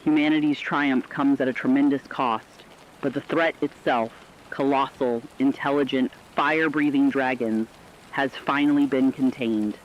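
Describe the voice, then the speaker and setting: A man acts as an earnest news co-anchor in an apocalyptic radio drama. He has a mid-pitched voice and a grave, measured delivery, heard through dull lo-fi sound with faint rain noise behind. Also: fairly even intonation, lightly acted. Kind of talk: scripted live radio news narration.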